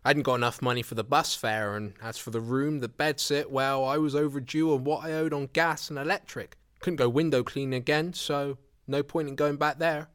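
A man's voice: medium pitch, fairly even intonation, a little fast, few pauses, a bit bright, dry recording, lightly acted. Actor as young homeless man, plain-spoken, rueful. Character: embarrassed young homeless man, a drifter with a limp. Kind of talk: audio drama, confessional first-person monologue.

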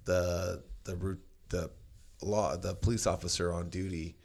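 A man with a very low, deep voice talks loudly and casually. He rambles through stories, restarting his sentences and leaning on fillers as he goes. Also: steady tone, measured pace, gravelly. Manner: loud voice. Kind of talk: casual conversation, storytelling.

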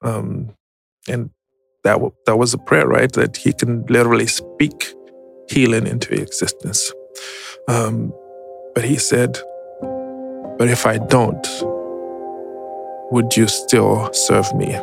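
A man tells a personal story as an interview guest, reflective and hesitant, pausing often. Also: medium pitch, flat delivery, vocal fry, music in the background. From Zimbabwe. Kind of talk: personal testimony, conversational storytelling.

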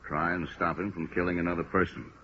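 A gruff, weary police lieutenant with a deep voice. He is blunt and plain-spoken, in old radio crime drama style. The sound is dull, with faint noise. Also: little pitch movement, quick pace. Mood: businesslike. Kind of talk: 1950s radio drama acting.

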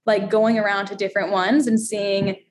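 A woman's voice: mid-range voice, flat delivery, normal speed, darkish tone, a little hiss or hum. A young adult woman from Florida, a radio host, chatting bubbly and laughing often in a casual interview. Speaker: radio host and steel drum player.

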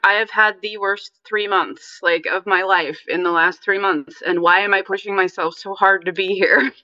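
A woman's voice: medium pitch, steady tone, normal speed, sharp tone, no room sound, muffled recording. A woman, a member of a group, sharing personal things informally in an earnest, confiding way.

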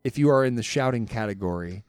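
A man's voice: medium pitch, lively intonation, fast, a little mellow, smooth, no echo, studio quality. A man hosting a podcast in casual chat, posing hypothetical questions in a playful, laughing way. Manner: playful, laughing, spins out would-you-rather questions. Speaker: podcast host.

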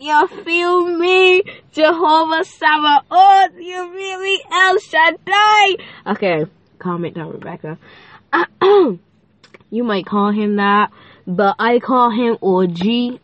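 A woman's voice: mid-range voice, no echo. A fifteen-year-old girl hosting her own faith podcast, chatty and playful, with a stuffy, nasal voice and a lilt. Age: teens. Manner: bubbly, chatty, playful, many fillers. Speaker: podcast host. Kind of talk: casual faith podcast chat.

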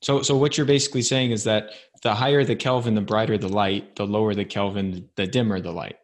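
Laid-back podcast host, lowish voice. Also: male voice, fairly even intonation, a little fast. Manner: friendly, chatty, says "man" a lot. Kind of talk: casual interview.

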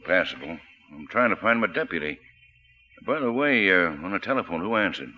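An actor playing a stern, blunt small-town sheriff with an American accent in a 1940s radio drama. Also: male voice, low-pitched, very animated, fairly quick, husky, full character voice. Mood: suspicious, questioning. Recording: dull old 1940s radio broadcast recording.

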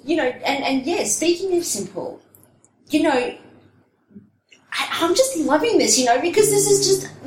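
A woman hosting an interview, enthusiastic and rambling with lots of fillers. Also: high-pitched. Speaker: podcast host. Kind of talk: conversational interview. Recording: echoey room, faint hiss.

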